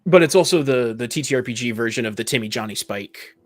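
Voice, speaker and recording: A man's voice: mid-range voice, fast talker, no echo, soft background music. A man casually debating tabletop games as a panelist on a podcast.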